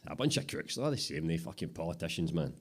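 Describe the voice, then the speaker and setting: A man with a Scottish accent voicing a gruff, clueless middle-aged joinery boss who gets baffled. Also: sits low, sing-song, fast talker, exaggerated voice. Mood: grumbling.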